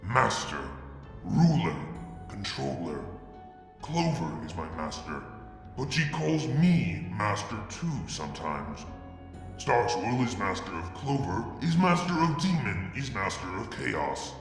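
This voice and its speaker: A man reading a fanfic aloud in a soft, halting first-person narration. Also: on the low side, very animated, roomy sound, long reverb tail, faint music behind. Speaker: fanfic reader. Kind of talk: dramatic story reading.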